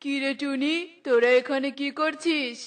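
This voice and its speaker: A woman's voice: high-pitched, steady tone, normal speed, music in the background, natural voice. A woman voicing a mocking, teasing male neighbour bird in a cartoon, in a jeering, theatrical manner. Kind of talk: cartoon voice acting.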